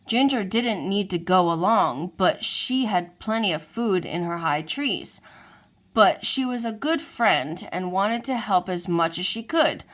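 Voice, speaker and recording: A woman reads a children's storybook aloud, lively and expressive as she narrates. Her voice sits at a middle pitch, neither high nor low. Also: a little slow. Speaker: storybook reader.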